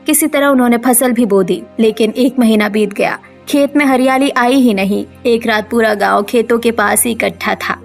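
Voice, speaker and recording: A woman's voice: fairly high pitch, moderate pace, gravelly, few pauses, soft background music. A woman reading scripted narration for a cartoon story.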